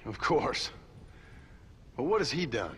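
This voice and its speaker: A man's voice: mid-range voice, big pitch swings, takes it slowly, a bit bright, a little hiss or hum. A man playing a weary, wry frontier gunslinger in a video game, with a dry, sardonic way of talking. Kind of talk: video game cutscene acting.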